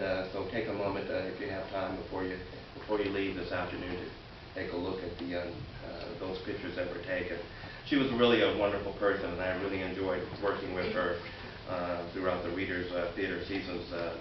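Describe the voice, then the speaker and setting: Low-voiced male host in a very echoey room. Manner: halting, pausing often mid-phrase. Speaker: host introducing a play reading. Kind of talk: informal spoken introduction to a live audience. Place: large room, amplified live event. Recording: very echoey, distant, muffled, noisy room recording.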